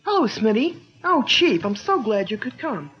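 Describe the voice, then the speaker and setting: Woman, earnest young sleuth, 1940s radio drama, animated pitch, lo-fi old radio sound. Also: normal speed. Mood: earnest, urgent. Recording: dull lo-fi old radio recording.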